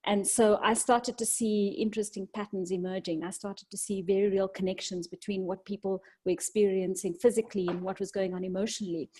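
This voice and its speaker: A woman from South Africa with a South African accent, speaking as a doctor and podcast guest in a reflective, halting conversational way. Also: mid-range voice, steady tone, warm tone, husky.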